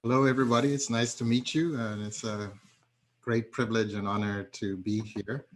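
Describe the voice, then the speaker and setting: A middle-aged man, a tech entrepreneur introducing himself over a video call in a halting way, full of fillers. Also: fairly low pitch, steady tone, slight background noise. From Zambia. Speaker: tech entrepreneur, panel speaker. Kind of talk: personal introduction at an online forum.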